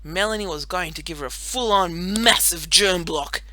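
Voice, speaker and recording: A woman narrating a children's story in an expressive, animated storytelling manner. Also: fairly low pitch, normal speed, no room sound. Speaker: audiobook narrator.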